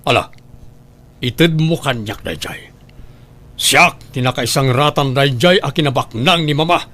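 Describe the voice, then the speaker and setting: A man's voice: mid-range voice, a little slow, bright, forceful tone. Man playing a cruel, greedy, menacing father. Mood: demanding. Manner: menacing, commanding. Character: cruel, greedy gambling father threatening his son. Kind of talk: Ilocano audio drama acting.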